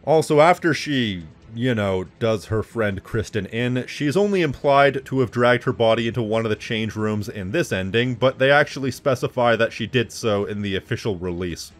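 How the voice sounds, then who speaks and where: A man's voice: medium pitch, normal speed, few pauses. Wry man narrating video commentary. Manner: snide, wry asides. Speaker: video essayist.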